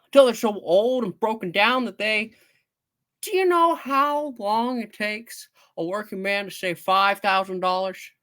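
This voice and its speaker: A man's voice: high voice, measured pace, a bit bright, pitched above the performer's own voice. A man playing an earnest, indignant young man who stammers through an impassioned defence in an amateur radio-play reading.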